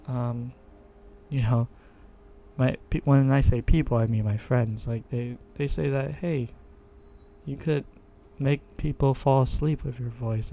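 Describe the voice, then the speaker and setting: A man hosting his own podcast, introducing himself in a low monotone voice and stopping often with fillers and restarts. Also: creaky. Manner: hesitant, many fillers and restarts. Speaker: podcast host. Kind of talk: casual self-introduction monologue. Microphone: desktop USB microphone.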